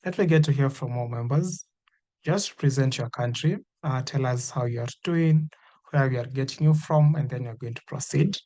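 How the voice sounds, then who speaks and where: A man's voice: mid-range voice, normal speed, warm tone. A man hosting an online course meeting, inviting participants to introduce themselves with repeated encouragement and many fillers. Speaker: meeting host. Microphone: video call.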